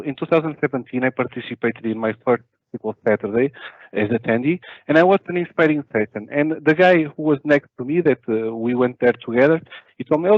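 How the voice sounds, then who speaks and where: A man's voice: medium pitch, no room sound. Portuguese guy on a webcam talk, lots of uhs, dull dry sound. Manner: halting, many filler uhs and restarts. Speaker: conference speaker. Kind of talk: online conference talk. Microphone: video call webcam.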